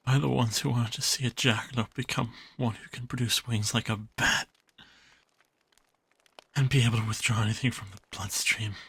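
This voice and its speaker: A man voicing a frightened small hybrid jackalope creature in an audio drama, speaking haltingly in a raspy, creaky voice. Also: mid-range voice, fairly even intonation, fairly bright tone, no room sound, heavily acted. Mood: halting, grieving. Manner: halting, timid, stammering.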